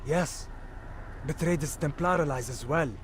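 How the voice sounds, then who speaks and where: An actor playing a loyal, gravely determined medieval warrior, solemn and formal, in a dramatic video game cutscene. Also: male voice, a little high, unhurried, slight background noise, close to an everyday voice. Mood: grave, disillusioned.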